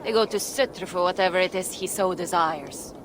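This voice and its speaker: An actress playing a pragmatic female dwarf metal worker in a video game, measured and matter-of-fact. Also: fairly low pitch, fairly bright tone, close to an everyday voice. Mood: resigned, candid. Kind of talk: video game cutscene acting.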